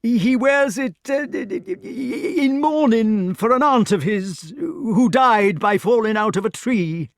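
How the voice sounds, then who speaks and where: Man doing a blustering old country knight, stammering, lively swooping pitch, raised high. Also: slow, creaky, few pauses, exaggerated voice. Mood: anxious. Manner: stammering, anxious, hasty. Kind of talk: audiobook character voice.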